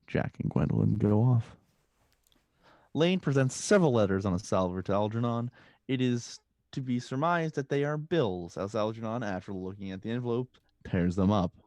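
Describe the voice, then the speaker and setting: Table-read narrator: man, soft relaxed timbre, very dry sound, informal delivery. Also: on the low side, moderate pace, no echo. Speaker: stage-direction narrator of a table reading. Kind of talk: scripted narration and casual chat.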